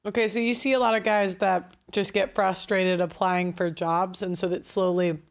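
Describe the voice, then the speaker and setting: A woman's voice: medium pitch, flat delivery, normal speed. A woman hosting a live call-in stream, talking casually with fillers like "like" and "um". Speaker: host.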